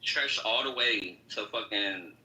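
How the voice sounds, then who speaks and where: Argumentative man with a sharp, bright voice. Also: lowish voice, slow pace, a little hiss or hum, rough recording. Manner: argumentative, slangy, interrupting. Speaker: debate participant. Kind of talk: heated informal political debate.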